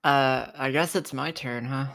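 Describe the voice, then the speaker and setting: Slow-paced, slangy tabletop roleplay player. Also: mid-range voice, fairly even intonation, gravelly, fairly bright tone. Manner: slangy, swearing, boastful. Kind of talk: casual game-session chat, narrating combat moves.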